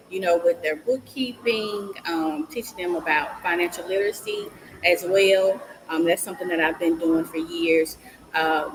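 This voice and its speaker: A woman's voice: on the low side, noisy background. A middle-aged woman from Mississippi with a Southern accent, explaining her consulting business as an interview guest with frequent ums. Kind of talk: casual interview talk.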